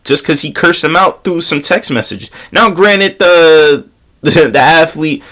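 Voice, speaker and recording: A man's voice: fairly high pitch, a little slow, a bit bright. A young man with a slight cold and a congested voice, giving a casual motivational talk outdoors and repeating key phrases for emphasis. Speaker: motivational vlogger. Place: outdoors on a windy day.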